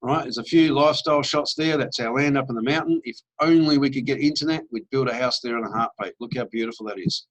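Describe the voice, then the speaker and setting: Aussie guy doing a sales pitch, upbeat and persuasive, middle-aged. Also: quick pace, few pauses, low-quality recording. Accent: Australian. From Australia. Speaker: network marketing presenter. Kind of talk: sales presentation.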